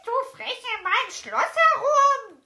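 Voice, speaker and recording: A man's voice: extremely high pitch, very animated, slow pace, pushed and bright, pitched above the performer's own voice, heavily acted. A man voicing a huge, boastful female giantess who bellows and shrieks in a storybook tale. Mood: mocking.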